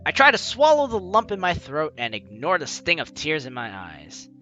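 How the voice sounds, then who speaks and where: A man's voice: fairly high pitch, wildly swooping pitch, moderate pace, pushed and bright, lo-fi sound, faint music behind. A man reading a story game aloud as a let's player, with dramatic narration and casual asides. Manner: dramatic reading, slipping into offhand comments.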